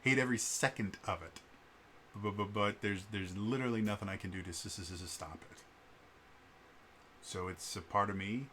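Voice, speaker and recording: A man with a stutter talks straight to the camera in an unscripted vlog, earnest and full of restarts. His voice sits fairly low and carries a bright, forceful edge. Faint background noise hangs behind him. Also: moderate pace, long pauses. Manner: earnest, frequent fillers and restarts. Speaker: vlogger.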